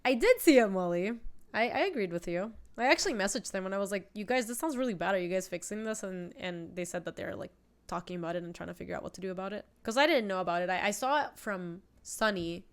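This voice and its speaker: A woman's voice: medium pitch, animated, fast talker. Congested young woman streaming a card game. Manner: chatty, thinks aloud, answers chat. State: congested with a cold. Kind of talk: casual live-stream commentary. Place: home streaming room, indoors.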